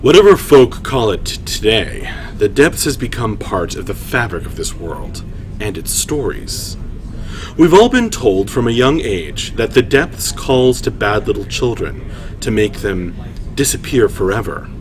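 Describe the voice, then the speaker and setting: A man hosting a story reading, shifting between informal, halting chat and measured storytelling narration. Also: on the low side, big pitch swings, slight background noise. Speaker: writer and host reading his own story aloud. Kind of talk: livestream story reading, narration with casual asides.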